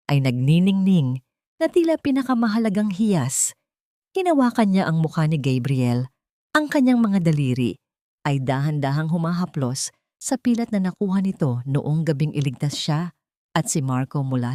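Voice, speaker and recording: A woman narrating a dramatic love story in a measured, emotive storytelling style. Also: sits low, sing-song, dark tone, dry recording, studio quality.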